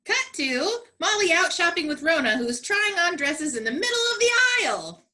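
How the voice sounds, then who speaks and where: A woman's voice: very high-pitched, big pitch swings, normal speed, sharp tone. A woman comedian delivering sassy, emphatic and theatrical scripted narration.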